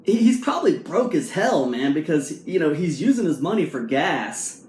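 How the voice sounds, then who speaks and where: A man in his forties telling a motivational story to camera in a casual, animated way, full of fillers and rhetorical questions, filmed with a phone at arm's length in a small room at home. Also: fairly high pitch, normal speed. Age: 40s. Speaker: vlog host. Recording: echoey room sound.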